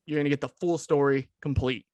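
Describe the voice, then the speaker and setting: A man hosting a podcast, talking excitedly and rambling with many restarts. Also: a little high, fast talker, no room sound. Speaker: podcast host. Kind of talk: casual podcast chat.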